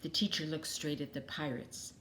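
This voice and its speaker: A woman reading a children's story aloud expressively, with dramatic pauses and emphasis. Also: low voice, a bit bright, a little hiss or hum, poor audio.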